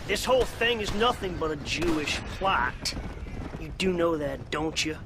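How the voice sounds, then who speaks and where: An actor playing a paranoid, bigoted old shopkeeper in a western game, drawling slowly and sneering. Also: male voice, on the high side, animated, noisy background. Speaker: general store owner.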